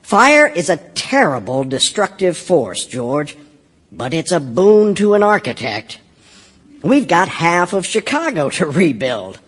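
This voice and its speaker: An actor playing a brusque, commanding boss architect, blunt and pontificating, in an audio stage play. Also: male voice, on the high side, big pitch swings, slow, a bit bright, heavily acted. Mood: businesslike.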